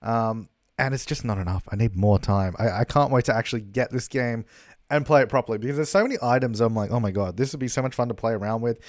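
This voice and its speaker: A man's voice: medium pitch, fast, a little mellow, no echo, clean studio sound. Chatty young man, slightly worn voice. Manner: chatty and rambling, with frequent fillers and laughs. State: losing his voice a little. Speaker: gaming YouTuber. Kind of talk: casual gameplay commentary. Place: hotel room. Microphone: travel recording setup.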